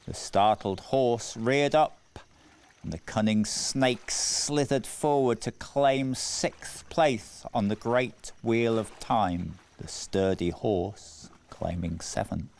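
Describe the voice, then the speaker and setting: A man narrating a scripted children's story in a measured, deliberate way with dramatic phrasing. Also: fairly low pitch, gravelly, a little mellow. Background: music.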